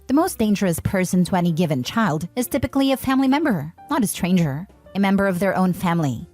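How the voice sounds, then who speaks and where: A woman reading forum posts aloud as scripted narration, in an even, steady way. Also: on the low side. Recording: faint background music.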